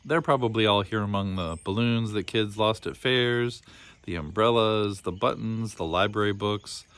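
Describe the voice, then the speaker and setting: A man's voice: fairly low pitch, normal speed, fairly bright tone, clean tone, close to an everyday voice. A man voicing a cheerful, forgetful old man with a folksy, absent-minded manner in a storybook. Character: cheerful, forgetful old man running a lost-things land. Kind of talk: storybook character voice.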